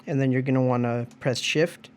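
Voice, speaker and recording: A man with a mid-pitched voice walks through a piece of music gear step by step. He speaks casually and informally, like a presenter guiding someone who is following along, with easygoing instructions. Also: steady tone, moderate pace, clear, resonant tone.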